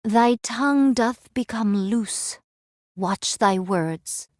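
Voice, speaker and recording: A woman's voice: on the high side, extremely slow, dry recording, clean studio sound. An actress playing a proud, weary android swordswoman in a game drama, with formal, archaic and haughty diction.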